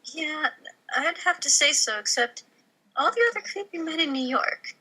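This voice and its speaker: A woman playing an earnest young pizzeria worker in a comedy table read, with an expressive delivery. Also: high-pitched, moderate pace, slight background noise, rough recording. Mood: sincere.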